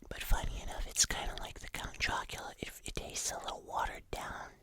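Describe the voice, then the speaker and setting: An ASMR host speaks entirely in a soft, breathy whisper. The delivery is casual and chatty, like an informal review. There is a wry, understated touch to the phrasing. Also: a little fast.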